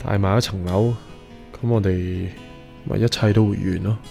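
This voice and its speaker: A man's voice: low voice, steady tone, normal speed, gravelly, darkish tone, faint music behind. An actor with a Hong Kong Cantonese accent playing a resigned young man weighed down by a mortgage, speaking conversationally in a radio drama. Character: young man, resigned mortgage-burdened would-be model maker.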